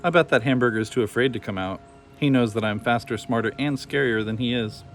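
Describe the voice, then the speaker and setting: A man's voice: medium pitch, quick pace, soft background music, close to an everyday voice. A man voicing a boastful, fearless young female ghost in a storybook, with a bragging, defiant manner.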